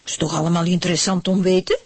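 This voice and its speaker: An actress playing a keen citizen who delights in useless facts, in a radio play, with a husky voice. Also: female voice, lowish voice, flat delivery, quick pace, a little mellow, no echo. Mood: eager, pleased.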